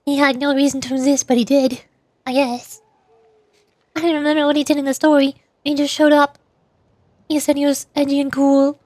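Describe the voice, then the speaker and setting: A woman's voice: high voice, level tone, moderate pace, pauses often, a little mellow, dry recording. A woman streamer chatting in a playful, rambling way during a casual gaming livestream. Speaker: streamer, VTuber. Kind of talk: casual gaming livestream chat with voiced dialogue.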